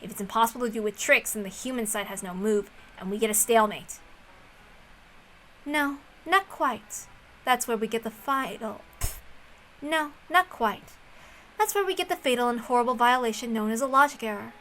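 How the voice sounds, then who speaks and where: A woman doing an expressive amateur read-aloud of a visual novel in a casual, homemade way. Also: on the high side, normal speed, slight background noise, poor audio.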